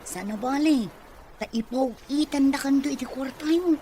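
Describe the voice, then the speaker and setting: A woman's voice: high-pitched, normal speed, mellow, a little hiss or hum, natural voice. A woman acting a hopeful, reassuring young woman in an Ilocano drama, with an Ilocano accent. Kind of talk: amateur Ilocano radio-style drama acting.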